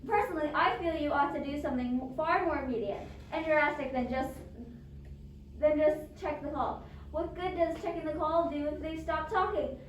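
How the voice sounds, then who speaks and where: Woman acting high-voiced, fretful invalid wife in echoey room. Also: moderate pace, close to an everyday voice. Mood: indignant, pressing. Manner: agitated, stammering, insistent. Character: anxious, demanding bedridden invalid wife, fretful and self-absorbed. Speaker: amateur drama club actor. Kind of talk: stage adaptation of a radio suspense play. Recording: roomy echo, distant mic, background noise.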